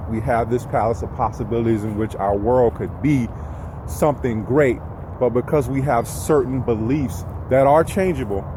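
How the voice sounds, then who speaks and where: A man giving an informal, reflective motivational talk while walking outdoors, speaking directly to the listener in a conversational way. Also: medium pitch. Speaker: vlogger giving personal development advice. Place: outdoors, walking near traffic. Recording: clear outdoor traffic and street noise.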